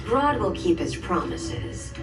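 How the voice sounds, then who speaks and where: A woman's voice: medium pitch, very animated, slow pace. Cool composed fighter woman, game cutscene, mid voice, roomy with music. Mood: cool, resolute. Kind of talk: video game cutscene acting. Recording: roomy, distant, music underneath.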